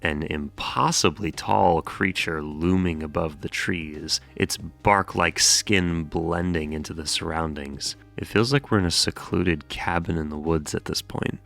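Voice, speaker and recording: A man's voice: sits low, a little slow, gravelly, hardly any pauses, a bit bright. A man hosting a horror explainer, mixing scripted narration with casual, wry commentary and jokey, sweary asides. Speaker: host of horror series explainer videos.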